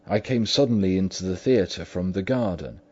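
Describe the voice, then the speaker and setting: A man's voice: low voice, moderate pace, warm tone, breathy edge, voice pushed up. A man voicing a frightened, loyal old butler who speaks urgently and pleads emphatically. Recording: dull lo-fi recording.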